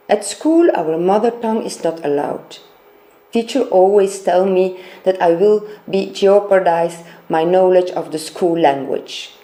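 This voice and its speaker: A woman who speaks natively delivers a measured, explanatory training lecture. Her voice is low and soft-edged, with lively rises and falls in pitch. She reads from a prepared script as she teaches. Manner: measured, explanatory, reading quotes aloud. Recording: roomy, echoing voice-over recording.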